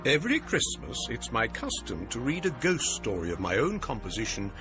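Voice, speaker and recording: An actor playing a genial, scholarly college provost who tells ghost stories, speaking in a courteous, measured way. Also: male voice, mid-range voice, huge pitch swings, music in the background. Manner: courteous, measured, theatrical storyteller. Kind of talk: radio drama acting.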